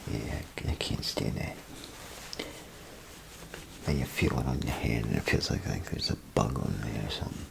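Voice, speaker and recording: A man doing a soft-spoken ASMR role play as a bathroom attendant, rambling casually and unhurriedly to the listener in a breathy, gravelly half-whisper. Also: a bass voice, background noise. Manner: unhurried, casual, folksy muttering with pauses.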